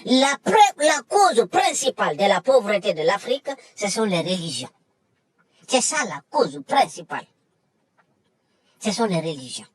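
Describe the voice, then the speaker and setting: A woman's voice: mid-range voice, sing-song, a little slow, slightly rough. A woman delivering an emphatic, lecturing monologue that repeats its points. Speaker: commentator. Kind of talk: impassioned monologue.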